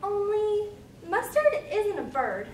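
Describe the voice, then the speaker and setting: Stage role: curious, well-mannered young girl acted by a woman, high and musing, distant mic with background noise. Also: very animated, slow, heavily acted. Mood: polite, puzzled. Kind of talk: readers theater performance. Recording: echoey room, distant mic, noisy.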